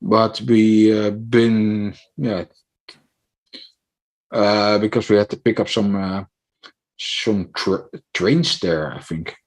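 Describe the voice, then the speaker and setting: Hesitant man, low voice. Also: fairly even intonation, slow pace, long pauses. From Netherlands. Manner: hesitant, many fillers and restarts. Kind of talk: casual chat.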